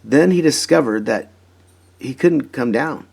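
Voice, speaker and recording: A man reading a children's storybook aloud in an expressive, emphatic way. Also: mid-range voice, unhurried, vocal fry, husky. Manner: animated, emphatic, dramatizing story moments. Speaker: storybook reader.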